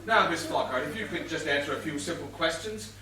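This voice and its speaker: Man playing a blustering high-pitched police inspector. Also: lively intonation, a little fast, over loud music, close to an everyday voice. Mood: officious. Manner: theatrical, blustering, emphatic. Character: pompous, excitable, bumbling male police inspector. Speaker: stage actor. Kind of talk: stage comedy murder mystery acting. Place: theatre stage, recorded from a distance. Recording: roomy distant stage recording, noisy.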